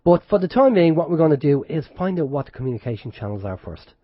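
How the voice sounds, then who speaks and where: A man's voice: fairly high pitch, very animated, quick pace, no echo. A man hosting an internet radio show in casual chat, with a head cold and sore throat. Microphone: close studio microphone. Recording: dull lo-fi internet radio stream.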